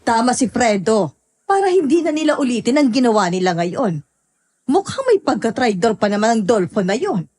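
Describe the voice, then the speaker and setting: A wary village woman played by an actress in a horror audio drama. Her voice is on the high side and moves animatedly as she voices suspicion. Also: very animated, normal speed, darkish tone. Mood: wary, agreeing.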